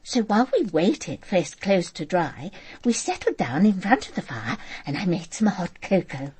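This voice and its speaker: Radio drama: earnest, polite female witness, very husky voice, lively intonation, slightly hesitant delivery. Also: on the low side, normal speed, full character voice. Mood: careful, recollecting. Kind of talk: naturalistic radio drama acting.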